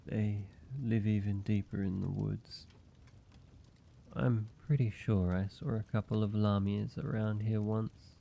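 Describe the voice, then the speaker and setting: A man acts out an ancient male vampire for a roleplay audio drama, flirtatious and courteous. The voice is on the low side and mellow, drawn out at a very unhurried pace. He teases playfully, with a touch of mock menace. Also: creaky, a little hiss or hum. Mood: sympathetic, hesitant.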